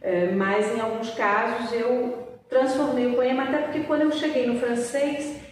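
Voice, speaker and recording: A Brazilian woman with a lightly mixed Portuguese accent, a poet talking as an interview guest, reflective and full of pauses and restarts. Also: a little high, steady tone, slight background noise. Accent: slightly mixed Brazilian Portuguese, a bit of Minas Gerais. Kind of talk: thoughtful conversational interview. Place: library event room. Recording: echoey hall, speaker far from mic.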